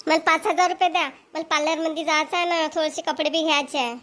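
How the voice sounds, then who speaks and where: A spoiled young cartoon wife, acted by a woman, argues in an extremely high, childlike pitch. She sounds whiny and defiant, with a Vidarbha Marathi accent. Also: fairly even intonation, fast, a bit bright. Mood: demanding. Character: spoiled, argumentative young wife, fond of shopping. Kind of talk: amateur cartoon voice acting.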